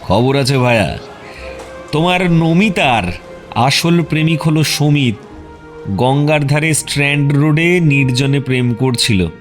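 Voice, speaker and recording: A man playing a shrewd, self-assured detective in an audio drama, with a knowing, measured, teasing and secretive manner. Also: on the high side, lightly acted. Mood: confiding. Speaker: detective character. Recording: music behind the voice. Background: music.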